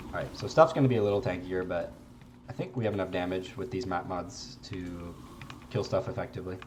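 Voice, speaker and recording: Congested young man, low-voiced. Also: brisk, background noise. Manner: relaxed, rambling, swears casually. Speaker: game streamer. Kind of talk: casual gameplay commentary.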